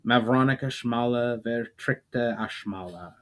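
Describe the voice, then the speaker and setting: A man voicing a solemn male leader who grants elemental powers to his friends, speaking in a measured way. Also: mid-range voice, a bit bright, close to an everyday voice. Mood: chanting.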